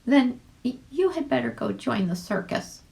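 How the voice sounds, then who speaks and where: A brisk little mouse lady from a storybook, as a woman reader puts her on. She speaks high, with lively pitch and a creaky edge. Her manner is prim, curt and dismissive.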